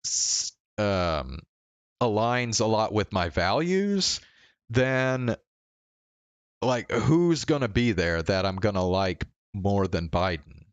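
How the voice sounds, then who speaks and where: A man with a low, smooth voice talks slowly and haltingly, as if thinking aloud on a casual livestream. He stammers into restarts and swears offhandedly. The sound is close and dry. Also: no echo, dull audio. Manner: casually profane. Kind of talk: casual rambling stream chat.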